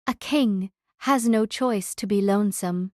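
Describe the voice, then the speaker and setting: A man voicing an earnest young noblewoman in a fantasy audio drama, speaking haltingly. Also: high-pitched, a little mellow, dry recording, clean studio sound, pitched above the performer's own voice. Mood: solemn.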